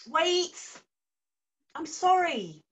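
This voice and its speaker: A woman's voice: very high voice, very animated, recorded from a distance, exaggerated voice. A woman acting a needy, defensive sister who is keen to make up, in a naturalistic drama. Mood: pleading, defensive. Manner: pleading, defensive, quick to retort. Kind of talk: naturalistic stage drama dialogue.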